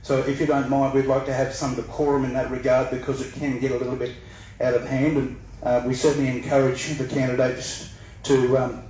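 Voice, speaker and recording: A man moderating a public forum speaks in a flat, even voice, stumbling through the ground rules with frequent ums. He is picked up from far away in a very echoey hall, with a faint background hum. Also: medium pitch. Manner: flat, hesitant, many ums. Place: large echoey sports hall.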